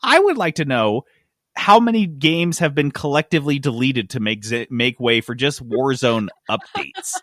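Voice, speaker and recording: A man's voice: medium pitch, animated, normal speed, a bit bright, no room sound. Joking man co-hosting, close dry mic. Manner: joking, sarcastic. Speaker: co-host of a gaming podcast. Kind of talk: casual gaming news chat.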